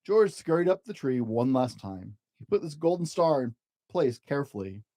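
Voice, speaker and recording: A storyteller reading a children's picture book aloud in an expressive, gentle way, as if to young children. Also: mid-range voice, normal speed.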